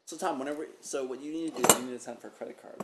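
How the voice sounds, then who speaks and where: A young man co-hosting a casual podcast, cracking dry, deadpan jokes. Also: medium pitch, very fast. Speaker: podcast co-host. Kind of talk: casual banter. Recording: echoey room with faint noise.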